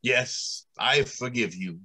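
A grave, formal factory director in an old-time radio play, acted by a man. He is anguished, with a husky edge and a bright, forceful delivery. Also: mid-range voice, slow pace, heavily acted, rough recording. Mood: resigned. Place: indoors, on a video call.

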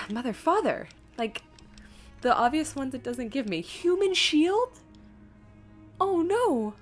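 A woman giving casual live game stream commentary, chatty and thinking out loud as she reads things aloud. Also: high-pitched, big pitch swings, slow, soft background music.

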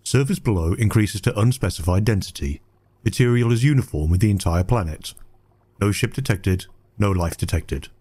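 An impassive male ship computer acted by a man for a sci-fi audio drama. The voice is dark and rough-edged. It delivers clipped, emotionless status reports. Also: fairly low pitch, lively intonation, moderate pace.

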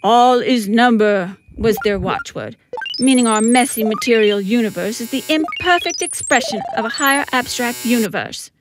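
A woman's voice: fairly high pitch, lively intonation, moderate pace. A woman narrating a comic script in a wry, theatrical manner. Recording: loud music behind the voice.

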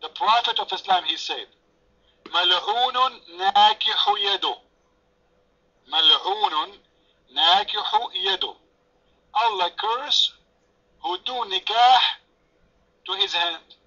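A man's voice: high-pitched, a little slow, long pauses, pushed and bright. A man whose first language is French, moderating an online debate with sarcastic, taunting remarks. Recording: lo-fi voice chat audio.

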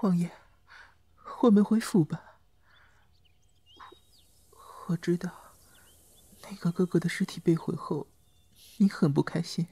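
A performer playing a meek, devoted young male servant in a Chinese costume audio drama, soft, timid and pleading. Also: mid-range voice, normal speed, husky, no echo. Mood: timid, tender.

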